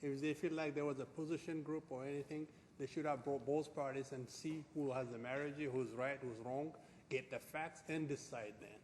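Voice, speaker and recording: A man from Ethiopia with an Ethiopian accent, speaking at a city council public comment in an earnest, halting way with many restarts. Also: fairly high pitch, fairly even intonation, darkish tone, a little hiss or hum. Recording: echoey council chamber.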